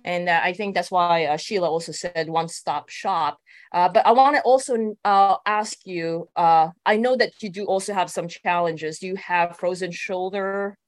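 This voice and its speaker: A woman coach interviewing a client, asking long rambling questions and answering with quick affirmations. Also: on the low side, dry recording. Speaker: coach and host.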